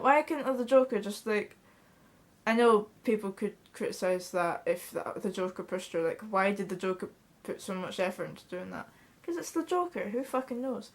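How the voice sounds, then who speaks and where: A young woman with a Scottish accent chatting casually to camera in a small bedroom, blunt and swearing freely. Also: a little high. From Scotland. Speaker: vlogger. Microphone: camera in front at close range.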